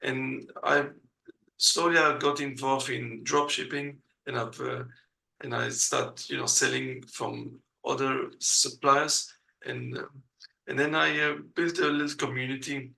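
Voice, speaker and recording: A man from Belgium speaks as an in a casual interview. His voice is gravelly yet bright and forward. He talks hesitantly and fills his pauses with plenty of "uh". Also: medium pitch, flat delivery, poor audio. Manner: hesitant, many uh fillers, non-native grammar. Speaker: guest.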